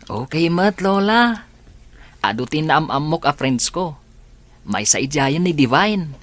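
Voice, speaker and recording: A woman playing a troubled teenage high school girl in a radio drama, talking in a soft, hesitant way. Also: sing-song, unhurried, no room sound. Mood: earnest. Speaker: lead character. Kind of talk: Ilocano radio drama acting.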